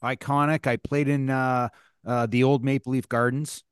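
A man in his forties, a former hockey player co-hosting a podcast, telling stories with lively, halting restarts. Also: mid-range voice, smooth, no echo, studio quality. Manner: animated storyteller, halting restarts, laughs. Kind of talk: casual storytelling.

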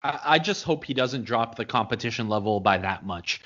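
A young man of mid pitch talks football transfers on a podcast, measured and thinking aloud as he goes. The audio is muffled and lo-fi. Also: expressive, a bit bright. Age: young adult. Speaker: podcast co-host. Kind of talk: casual sports chat. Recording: dull lo-fi audio.

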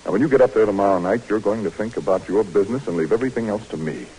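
A man's voice: lowish voice, rapid-fire, warm tone, breathy edge, poor audio. An actor playing a firm, fatherly military superior in a vintage radio drama, earnest and reassuring. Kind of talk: 1940s-50s radio drama acting.

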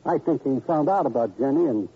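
Brash fight promoter: very husky, slangy, glib, wisecracking. Vintage radio crime drama on a dull recording. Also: male voice, medium pitch, expressive, a little fast. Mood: confiding. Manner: slangy, wisecracking tough-guy talk. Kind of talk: old-time radio crime drama acting.

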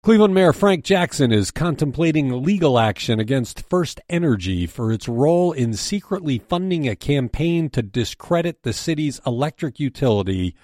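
A man's voice: fairly high pitch, lively intonation, moderate pace, few pauses, a little mellow, dry recording, clean studio sound. A man with an American accent reading a scripted news briefing as its host and editor.

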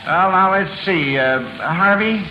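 A man with a fairly high voice hosts a vintage radio quiz show. He is folksy and chatty, reading questions and echoing answers. The broadcast is muffled, with clear background noise. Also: lively intonation, unhurried.

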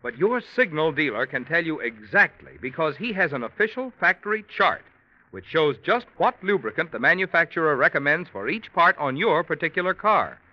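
A man's voice: on the high side, very animated, fairly quick. A man announcing a sponsor message in a polished, persuasive 1940s radio commercial style. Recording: dull vintage radio recording.

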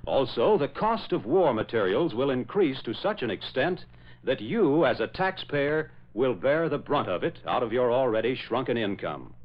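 A man works as a 1940s radio announcer, reading scripted copy with formal, emphatic drama. His medium-pitched voice rises and falls widely. The sound is slightly dull, as on an old broadcast. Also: very animated, normal speed.

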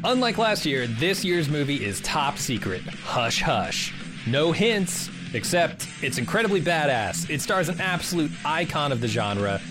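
Animated higher-voiced man promo over guitar. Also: unhurried. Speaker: podcast host. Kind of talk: scripted hyped event promo. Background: plucked guitar music.

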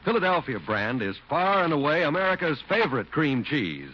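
A man's voice: on the high side, very animated, normal speed, husky. A man announcing on 1940s radio with a polished, emphatic, scripted sales delivery. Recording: muffled old radio broadcast recording. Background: prominent music.

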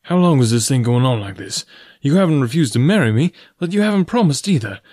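A man's voice: mid-range voice, big pitch swings, darkish tone, dry recording, pitched above the performer's own voice. Man as male suitor around forty, earnest, pressing, formal, storybook character, very dry sound. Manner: deliberate, formal, blunt.

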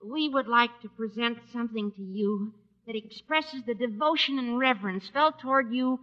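An actor playing an eager, earnest teenage schoolboy with a squeaky voice, making mock-solemn speeches in old-time radio comedy. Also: male voice, normal speed. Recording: muffled vintage radio broadcast.

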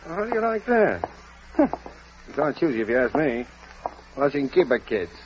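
A male actor playing a gruff but soft-hearted husband in a 1940s American radio drama, blustering and stammering. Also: mid-range voice, big pitch swings, fairly quick, slight background noise, natural voice. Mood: indignant. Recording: muffled old radio broadcast recording.

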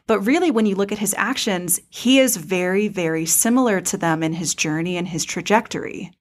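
A woman's voice: medium pitch, a little fast. Articulate woman discussing as podcast co-host. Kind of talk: casual analytical fan discussion.

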